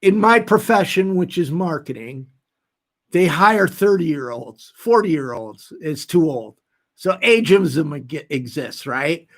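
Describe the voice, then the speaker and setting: A man of nearly sixty with a noticeably high voice for a male speaker. He talks straight to his livestream audience in a casual, unscripted way. His delivery is earnest and candid, with emphatic stress on his points. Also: big pitch swings, takes it slowly, fairly bright tone. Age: late 50s, nearly 60. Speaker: nonprofit founder hosting a livestream. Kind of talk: casual livestream talk to viewers.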